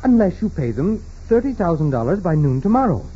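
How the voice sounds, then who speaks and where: An actor playing a formal, deferential private secretary in a 1940s radio drama. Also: male voice, sits high, big pitch swings, brisk, slightly rough, heavily acted. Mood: anxious, urgent. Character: male private secretary, deferential yet anxious. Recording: muffled 1940s radio recording.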